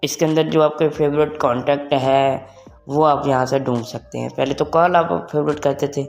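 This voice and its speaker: A man's voice: a little high, fairly even intonation, normal speed, gravelly. A young adult man gives a casual tech tutorial to online viewers, mixing English phrases into his talk. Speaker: tech video presenter. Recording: music behind the voice. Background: background music.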